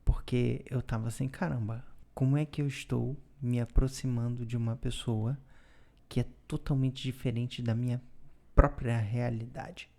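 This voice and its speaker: A man, co-hosting a podcast and explaining things in a calm, casual way, often ending with a questioning tag. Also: mid-range voice, normal speed, vocal fry. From Brazil. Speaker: podcast co-host. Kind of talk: casual conversational storytelling.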